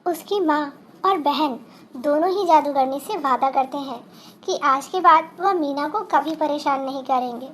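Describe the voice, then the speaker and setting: High-pitched woman narrating a children's tale. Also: a bit bright, slight background noise. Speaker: storyteller.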